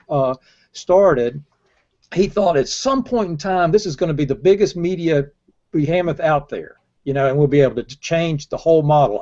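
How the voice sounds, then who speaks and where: High-voiced older man, emphatic talker. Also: a little mellow. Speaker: panelist in a marketing discussion. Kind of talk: casual argumentative panel chat. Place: indoors on a video call. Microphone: earbud headset. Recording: dull lo-fi video call audio.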